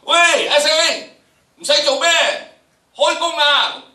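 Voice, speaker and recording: Farm labourer for radio drama, played by an older man: high, forceful drawn-out hollering, echoey hall. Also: heavily acted. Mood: calling out, urgent. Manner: loud, drawn-out calling. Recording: echoey lecture hall, distant pickup.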